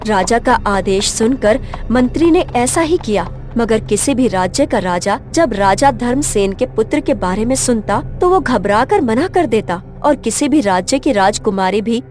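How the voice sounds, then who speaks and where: High-pitched woman narrating a story. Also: animated, moderate pace, a little mellow, faint music behind.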